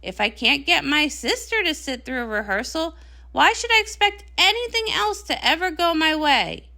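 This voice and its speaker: A woman plays a young pony princess pop star in a comic read-along. The voice is high and bright, swinging widely with dramatic indignation and sarcasm. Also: lively intonation, moderate pace, vocal fry, exaggerated voice. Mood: sarcastic, upset.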